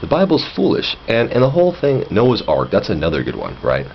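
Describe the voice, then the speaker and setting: A man's voice: lowish voice, huge pitch swings, a little fast, vocal fry, dull audio, slight background noise. A man giving a casual, opinionated monologue, blunt and exasperated, swearing and acting out conversations as he goes. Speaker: vlogger.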